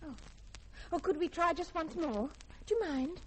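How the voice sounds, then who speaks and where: A woman's voice: very high voice, normal speed. An actress playing an innocent, curious and naively frank young woman in a 1950s radio drama. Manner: ingenuous, questioning. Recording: noisy vintage radio recording.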